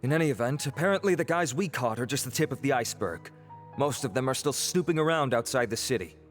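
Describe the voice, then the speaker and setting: A man voices a level-headed game investigator in a medium-pitched voice. He briefs his allies politely and deliberately, with music playing underneath. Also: lively intonation, lightly acted. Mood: brisk, wry. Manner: measured, explanatory. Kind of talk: video game voice acting.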